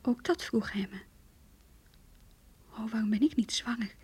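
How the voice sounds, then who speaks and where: An actress playing a heartbroken young woman who confides her lost love in an intimate, halting radio play monologue, breathy and hushed. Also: on the high side. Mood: anguished.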